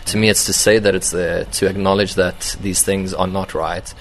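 Film director type, low flat gravelly voice, slow thoughtful answers full of ums, faint hum behind. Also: male voice. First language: English. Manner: thoughtful, hesitant with many ums and repeated starts. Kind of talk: interview answers. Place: event venue.

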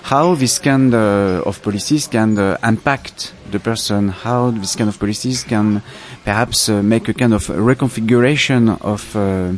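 A man from France with a French accent, a filmmaker answering interview questions in a halting, reflective way as he searches for words. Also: fairly low pitch. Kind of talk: festival interview.

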